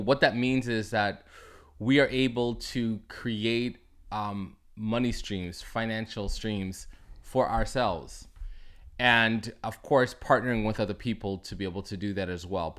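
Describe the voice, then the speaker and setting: A man in his forties from Canada, talking casually as co-host at an easy, measured pace, often stopping on fillers as he looks for words. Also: lowish voice, a bit bright. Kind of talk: casual conversation.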